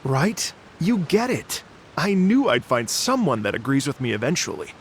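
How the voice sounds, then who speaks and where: A man's voice: medium pitch, very animated, moderate pace, slight background noise. A man voicing a gracious, poetic young travelling musician in a video game, with a courteous, lyrical, earnest delivery. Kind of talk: video game character acting.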